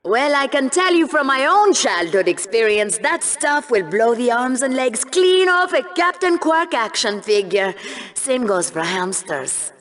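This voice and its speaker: A woman's voice: a little high, big pitch swings, unhurried, a bit bright. Sardonic, morbid female game show co-host. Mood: morbidly sardonic. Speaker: co-commentator. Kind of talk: scripted video game commentary.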